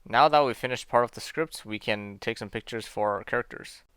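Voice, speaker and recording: A man's voice: medium pitch, a little fast, fairly bright tone, smooth, no room sound. A young man giving scripted video narration in a casual, self-deprecating way. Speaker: amateur game developer narrating a video.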